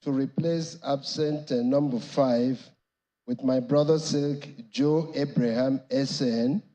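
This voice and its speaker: A man with a Nigerian accent formally announcing appearances as counsel in court, measured and deferential. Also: medium pitch, fairly even intonation, warm tone, rough recording. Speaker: lead counsel for petitioners in court.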